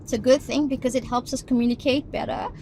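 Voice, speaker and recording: A woman's voice: sits high, fairly even intonation, a little mellow, poor audio. A middle-aged woman from South Africa, giving thoughtful answers as an expert guest in a casual interview and laughing often. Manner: thoughtful, laughs often, slows to stress points. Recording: background noise.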